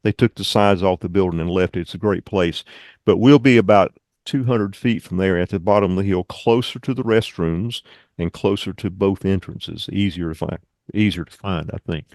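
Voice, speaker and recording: A man's voice: deep voice, moderate pace, darkish tone, no echo, studio quality. A man giving an informal club presentation over a video call, with rambling, folksy asides.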